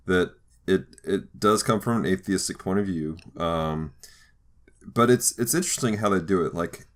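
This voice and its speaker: A man co-hosting a podcast, explaining things in a halting, conversational way as part of a casual discussion. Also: sits low. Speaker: podcast co-host.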